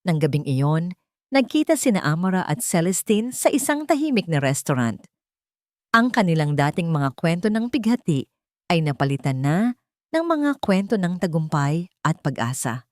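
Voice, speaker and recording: A woman narrating a scripted romance story in an earnest, sentimental, measured way. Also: lowish voice, very animated, no room sound, clean studio sound.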